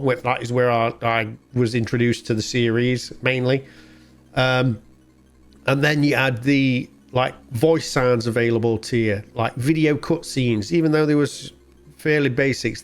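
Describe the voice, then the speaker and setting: A man with a mid-pitched voice gives off-the-cuff gameplay commentary. He is chatty and informal, rambling along as the host of a retro gaming channel.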